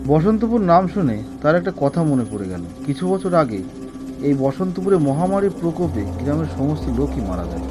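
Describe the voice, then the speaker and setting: A man narrating a scripted horror story dramatically, pausing between short phrases. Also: on the high side.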